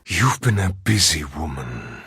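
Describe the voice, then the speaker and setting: A menacing captive spirit, extremely husky and rasping. He speaks slowly and formally, with cold courtesy and ominous weight, as in a dark comic-book drama. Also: big pitch swings, no room sound, clean studio sound, heavily acted. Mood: coldly taunting. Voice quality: deep, husky rasp. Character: menacing trapped male spirit, bargain-hungry and coldly courteous. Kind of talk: dramatic scripted dialogue.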